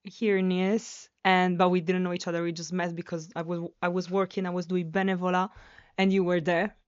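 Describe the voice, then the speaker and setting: A woman's voice: lowish voice, level tone, fairly quick, clean tone, dry recording, muffled recording. A woman in her twenties from Sardinia with an Italian accent, chatting as a podcast guest and sometimes searching for the English word. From Sardinia, Italy. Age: 20s. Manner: chatty, searches for English words, slips into French. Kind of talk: casual podcast chat. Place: student residence room.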